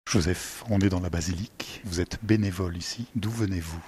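A man's voice: low-pitched, fairly quick, darkish tone, husky. A male reporter asking friendly, rambling interview questions.

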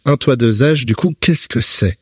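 A man's voice: medium pitch, moderate pace, husky, no echo, studio quality. A man interviews guests at a guest's home, asking short, plain questions.

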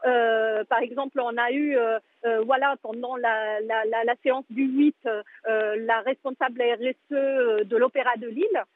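A woman answers radio questions over a thin, tinny phone line. Her voice sits high for a woman. She hesitates constantly, filling gaps and restarting phrases. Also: fairly even intonation, moderate pace. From Italy. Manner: hesitant, many fillers and restarts. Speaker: museum director, guest. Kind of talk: live radio interview answers.

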